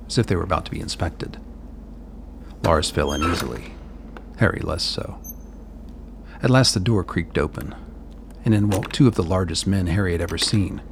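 A man's voice: low voice, gravelly, a little hiss or hum. A man narrating a scripted radio play as its storyteller.

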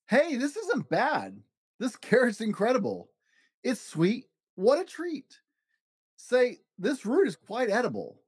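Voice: medium pitch, huge pitch swings, slow, a little mellow, husky, no room sound, clean studio sound, pitched above the performer's own voice, full character voice. A reader voicing a curious, picky young boy in a children's picture book story. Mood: pleasantly surprised.

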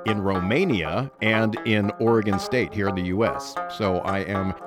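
A man hosting an interview, speaking in a polite, measured way. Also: fairly low pitch, over music. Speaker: podcast host.

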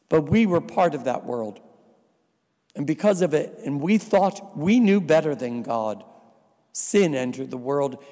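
Slow-preaching priest in a reverberant church. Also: male voice, fairly high pitch, a little mellow. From New Jersey. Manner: slow, deliberate preaching with dry asides. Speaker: visiting priest. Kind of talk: sermon.

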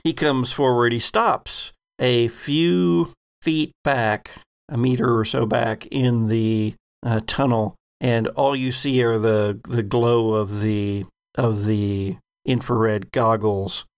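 A man narrating a tabletop game as game master, descriptive and deliberate. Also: mid-range voice, fairly even intonation, clear, resonant tone.

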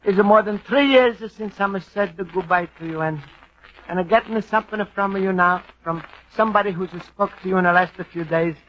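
Italian immigrant, homesick and excitable, Italian accent, broken English, high voice, muffled old radio sound. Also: male voice, brisk. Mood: wistful. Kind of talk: 1940s radio acting. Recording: dull old radio broadcast with faint hiss.

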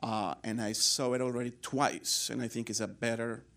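A man's voice: mid-range voice, fairly even intonation, normal speed, gravelly, a bit bright. A man with a Colombian accent moderating a post-screening Q and A, with lots of hesitations and fillers. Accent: Colombian Spanish. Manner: hesitant, many fillers and restarts.

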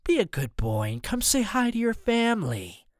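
A man's voice: sits high, sing-song, moderate pace, no room sound, clean studio sound, pitched above the performer's own voice. A man voicing a cold male villain in an audiobook, commanding and mocking as he makes his threats. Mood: mock sweetness. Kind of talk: audiobook character dialogue.

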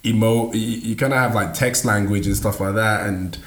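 A man from Nigeria chatting casually, halting and restarting often with lots of fillers. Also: fairly low pitch, steady tone, fairly bright tone. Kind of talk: casual chat. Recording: echoey room.

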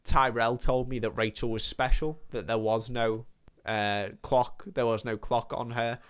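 A man's voice: medium pitch, little pitch movement, unhurried, a bit bright, smooth. A British man talking casually as a film podcast host. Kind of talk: casual chat.

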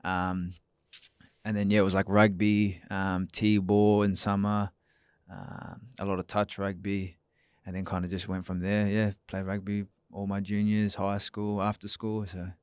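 A young man with a very low, smooth voice answers questions as a podcast guest. He speaks in a relaxed, easygoing way, full of fillers. The sound is dry and close. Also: measured pace, no echo. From New Zealand. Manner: relaxed, many fillers, laughs easily. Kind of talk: casual interview chat.

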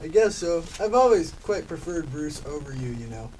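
A male performer voicing a polite, grumbling sloth, reading a little haltingly and mock-formally in an amateur radio comedy. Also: on the high side, sing-song, darkish tone. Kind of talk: amateur old-time radio comedy drama. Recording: distant mic with faint background noise.

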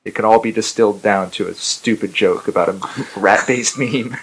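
A man, a guest poet on a podcast, talking in a halting, self-mocking way with a voice roughened and gravelly after a rough week. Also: fairly low pitch, poor audio. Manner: dry self-mocking humor, halting with fillers. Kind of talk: casual podcast chat.

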